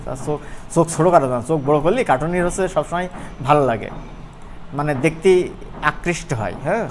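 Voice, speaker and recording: A man's voice: a little high, animated, quick pace. Bangladeshi man teaching casually, noisy recording. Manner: conversational, playful, often checking with listeners. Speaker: animation instructor. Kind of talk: informal screen-share software tutorial.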